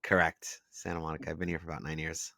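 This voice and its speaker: A man's voice: extremely low pitch, little pitch movement, fast, creaky, bright, forceful tone, dry recording, studio quality. A man from Israel and the San Francisco Bay Area, telling his startup story in a casual interview and explaining it with examples. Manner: explains things with examples, frequent "like" fillers. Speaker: startup founder, guest.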